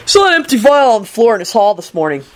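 A woman reads as a blunt young boy, the fed-up brother of the family. His lines are sweary and exasperated, delivered with lively pitch in an audiobook. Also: fast talker. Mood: matter-of-fact.

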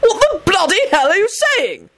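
A woman's voice: extremely high pitch, sing-song, normal speed, a bit bright, no echo, pitched above the performer's own voice, exaggerated voice. A woman voicing a hot-tempered, exasperated man, aggrieved and howling, in a story reading. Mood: outraged.

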